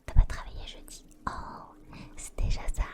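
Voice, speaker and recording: A woman hosting a casual ASMR live chat, speaking softly and intimately to viewers in a breathy whisper. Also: normal speed. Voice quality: breathy, mostly unvoiced whisper. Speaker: ASMR streamer, host.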